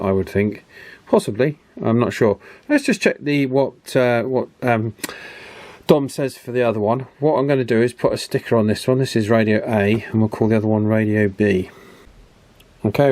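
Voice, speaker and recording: A man giving a casual hands-on repair demonstration as host, unhurried and thinking aloud through each step. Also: fairly low pitch, darkish tone, no room sound. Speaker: host of a radio repair channel.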